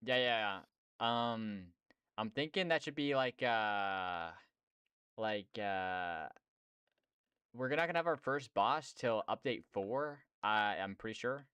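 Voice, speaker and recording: A man's voice: fairly low pitch, expressive, slow, fairly bright tone, clear, resonant tone, no echo. A young man streaming and chatting casually about game development, slangy and rambling with lots of fillers. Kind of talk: casual chat.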